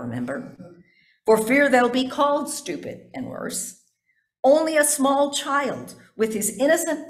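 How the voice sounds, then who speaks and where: Older woman preaching in an echoey church. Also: fairly high pitch. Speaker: pastor preaching a sermon. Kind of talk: sermon read from notes, with asides to the congregation. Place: indoors in a church sanctuary at a pulpit. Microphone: gooseneck pulpit microphone.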